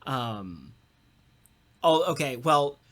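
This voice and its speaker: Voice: medium pitch, lively intonation, very slow. An enthusiastic co-host and voice actor chatting casually in a round-table talk, swearing freely.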